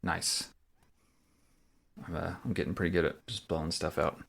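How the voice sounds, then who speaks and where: A man's voice: low voice, fairly even intonation, moderate pace, gravelly, fairly bright tone, a little hiss or hum. A young man co-hosting a movie podcast in casual chat, rambling with fillers and easy laughs. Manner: chatty, lots of fillers and laughs. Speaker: podcast co-host.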